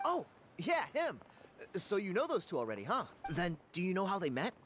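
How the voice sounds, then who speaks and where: A man's voice: high voice, huge pitch swings, normal speed, background noise. An actor playing a talkative, easygoing young male adventurer in a video game. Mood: chatty, informative. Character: easygoing young male tribesman, talkative amateur relic hunter. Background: game music.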